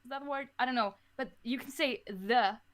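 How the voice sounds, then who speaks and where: A woman who grew up speaking German talks as a podcast guest. Her voice sits a little high, and her delivery is casual and conversational. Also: fairly quick. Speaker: podcast guest, audio drama creator. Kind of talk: casual chat.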